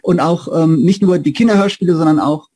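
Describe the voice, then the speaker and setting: A man's voice: a little high, fairly quick, dark tone, slightly rough. A man in his forties hosting a casual interview, talking eagerly and correcting himself as he rambles. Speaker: host, professor. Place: indoors, room with bookshelves, video call.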